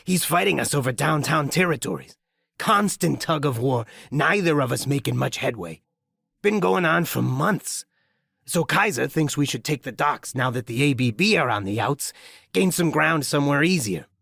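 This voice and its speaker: A man's voice: on the high side, animated, moderate pace, creaky, a bit bright, breathy edge, no room sound, studio quality, heavily acted. A man voicing an injured skinhead gang thug, crude and grudging, with a strained, gasping delivery in an audiobook. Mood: grudging informing. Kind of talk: audiobook character acting.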